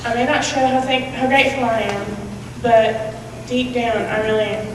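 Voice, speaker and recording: A woman's voice: a little high, fairly even intonation, moderate pace. A young woman reciting a personal monologue as a student performer. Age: teenager or young adult. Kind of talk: readers theatre monologue, recited. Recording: echoey hall from far off, noisy.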